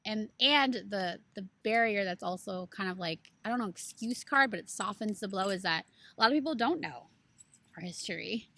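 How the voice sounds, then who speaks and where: A woman's voice: medium pitch, expressive, normal speed, sharp tone, dry recording. A woman co-hosting a casual book club podcast, talking earnestly and rambling with plenty of "like" and "you know".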